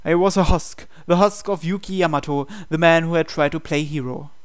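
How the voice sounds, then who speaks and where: A man's voice: a little high, brisk, clear, resonant tone. A man reading visual novel text aloud as dramatic scripted narration for a playthrough.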